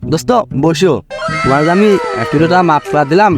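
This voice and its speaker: A man's voice: a little high, quick pace, music in the background. A man playing a chatty, cajoling village creditor in a comic skit, with a rural Bangladeshi accent and a voice-changer effect. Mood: magnanimous, chatty. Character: talkative village man chasing a small debt from friends. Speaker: comedy skit performer. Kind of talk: comic dialect skit dialogue.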